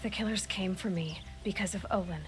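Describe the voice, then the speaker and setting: A woman doing a resolute young huntress who speaks in short, firm sentences and never backs down. Her voice sits in a middle range for a woman and stays steady and commanding. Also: medium pitch, a little fast, faint music behind, close to an everyday voice. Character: determined young woman hunter seeking answers. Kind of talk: video game cutscene acting.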